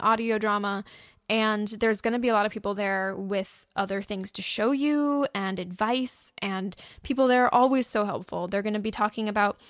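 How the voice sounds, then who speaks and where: A woman's voice: mid-range voice, a bit bright, no room sound, clean studio sound. A young woman co-hosting a podcast, giving casual, earnest and encouraging advice. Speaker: podcast host and audio drama producer. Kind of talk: casual how-to podcast talk.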